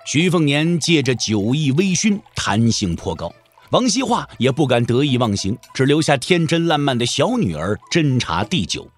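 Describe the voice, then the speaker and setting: A man narrating a Chinese martial arts audio drama as an expressive storyteller, wry and colloquial in his delivery. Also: mid-range voice, normal speed, faint music behind.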